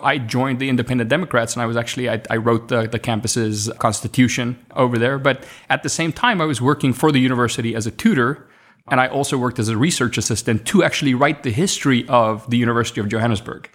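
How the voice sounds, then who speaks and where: A man in his thirties from South Africa, Afrikaans his first language, recounts his past as a podcast guest. His pitch sits mid-range for a man. He speaks in a relaxed, reflective way, often pausing and restarting his sentences. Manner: reflective, with frequent restarts and fillers. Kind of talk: conversational interview storytelling.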